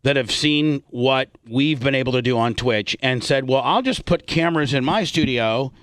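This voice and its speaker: A man's voice: medium pitch, moderate pace, fairly bright tone, dry recording. A man hosting a radio show with unscripted talk, blunt and salty in the way he speaks.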